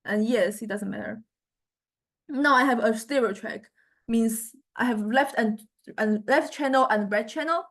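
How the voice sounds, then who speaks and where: A woman teaches in an informal, unscripted way, walking through a software demo. Her voice sits fairly high and she takes her time. The phrasing is halting and hesitant, with frequent little check-ins. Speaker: lecturer.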